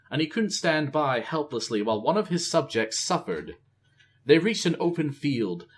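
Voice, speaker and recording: A man reading a novel aloud in a casual, expressive way. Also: lowish voice. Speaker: storyteller.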